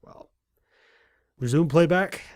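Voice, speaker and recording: A man's voice: on the high side, takes it slowly, noisy background. A man playing a terse, musing archive reviewer in an audio drama, giving short playback commands.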